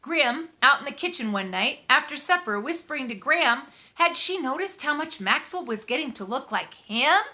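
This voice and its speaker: A woman reading a story aloud in the first person, conversational and expressive, leaning on key words for dramatic emphasis. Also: high voice, normal speed, hardly any pauses. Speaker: audiobook reader.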